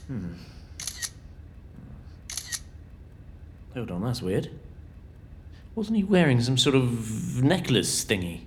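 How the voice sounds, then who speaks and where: British police investigator for audio drama: deep for female, very animated, wry, exasperated. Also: a little slow, heavily acted. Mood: matter-of-fact. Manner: sardonic, theatrical, exasperated.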